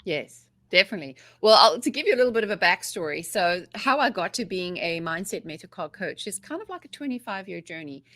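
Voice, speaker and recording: A woman in her forties with a South African accent, a guest coach telling her story in a chatty, energetic way. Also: lowish voice, brisk, hardly any pauses. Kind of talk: casual interview talk.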